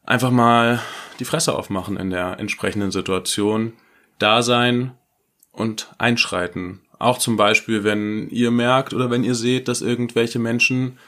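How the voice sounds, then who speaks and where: A man with a fairly low voice co-hosts a casual talk podcast. He speaks bluntly and informally, addressing listeners directly. When he reads listeners' stories aloud, he keeps a steady, even delivery. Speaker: podcast co-host.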